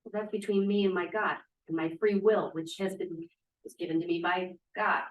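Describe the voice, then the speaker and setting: A woman's voice: lowish voice, steady tone, normal speed, a little hiss or hum, close to an everyday voice. A woman playing a defiant, free-spirited drama student of twenty-two in a play table read, arguing emphatically and theatrically. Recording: roomy, echoey, distant microphone.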